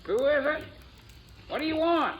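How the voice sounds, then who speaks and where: An actor playing a testy, defensive American assayer in an old radio western, talking plainly and with exasperation. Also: male voice, extremely high pitch, expressive, moderate pace, highs cut off. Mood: testy, startled. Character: testy, defensive frontier assay office man. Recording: noisy vintage radio recording.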